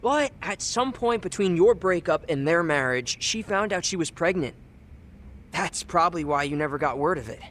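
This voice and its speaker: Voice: mid-range voice, lively intonation, moderate pace, fairly bright tone, slight background noise, lightly acted. An actor playing a cheeky but earnest teenage boy in a video game dub, talking in offhand, youthful slang. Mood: subdued, matter-of-fact.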